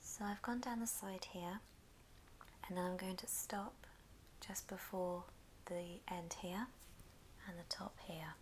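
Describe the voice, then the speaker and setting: Woman, breathy ASMR whisper, slow gentle instruction, clearly noisy background with clicks. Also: fairly low pitch, steady tone. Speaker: ASMR craft host giving a tutorial. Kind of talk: whispered ASMR craft tutorial.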